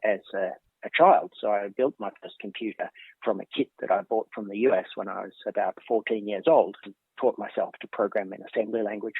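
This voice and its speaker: A man's voice: on the low side, a little fast, creaky, husky, dry recording. An Australian man in his fifties, a professor giving thoughtful interview answers with many ums and restarts. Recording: dry thin phone-like line.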